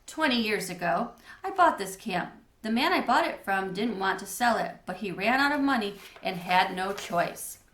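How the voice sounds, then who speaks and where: A woman's voice: medium pitch, expressive, normal speed, fairly bright tone, roomy sound, a little hiss or hum, close to an everyday voice. A woman voicing a serious male camp director who declaims a spooky campfire legend from a storybook. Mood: grave, solemn.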